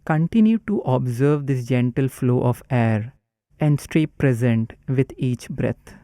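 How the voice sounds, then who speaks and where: Slow, mellow-voiced male meditation guide. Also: mid-range voice, dry recording, studio quality. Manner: slow, calm, instructive.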